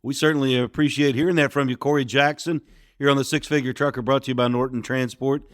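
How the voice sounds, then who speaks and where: Male podcast host, steady and easygoing, dry studio sound. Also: medium pitch, fast, no echo. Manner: affable, steady, with filler "uh"s. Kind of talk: interview podcast hosting.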